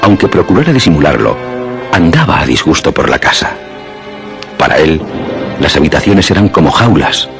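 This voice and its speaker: A man with a Castilian Spanish accent narrating a radio suspense drama in measured, literary storytelling. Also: very deep voice. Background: music.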